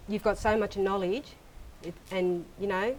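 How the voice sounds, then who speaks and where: A middle-aged woman gently interviewing her father, with lots of ums and you-knows. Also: medium pitch, fairly even intonation, measured pace, rough recording. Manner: gentle, prompting, with many fillers. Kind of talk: casual interview.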